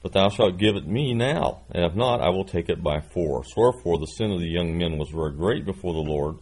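Man, 60s, preacher; low, husky, folksy and self-deprecating. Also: lively intonation, fairly quick, a little mellow, poor audio. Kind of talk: sermon with scripture reading.

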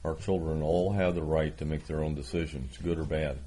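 Older guy in his 60s giving a sermon, low husky voice, folksy asides. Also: fairly even intonation, a little fast, dark tone. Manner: folksy, self-deprecating asides. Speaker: preacher. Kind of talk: sermon with scripture reading.

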